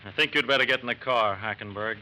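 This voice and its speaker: An actor playing a steady, methodical FBI agent in an old radio crime drama, measured, polite but firm. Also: male voice, medium pitch. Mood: firm questioning. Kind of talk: 1940s-50s radio crime drama acting. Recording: noisy vintage radio drama recording.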